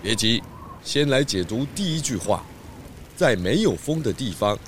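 A man playing a calm, wise pig detective who explains things patiently in a children's audio drama. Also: medium pitch, moderate pace, slight background noise, natural voice. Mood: patient, reasoning.

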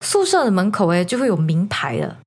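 Female podcast host, Malaysian accent, rapid casual storyteller who drops in English words. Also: mid-range voice, sing-song, husky. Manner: mixes in English words, explanatory. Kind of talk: casual spoken storytelling to co-hosts.

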